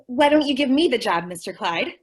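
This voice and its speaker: A woman's voice: fairly high pitch, expressive, brisk, breathy edge. A woman playing a sweet, dim-witted young secretary in old-time radio comedy style, earnest, eager and naive. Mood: eager, pleading.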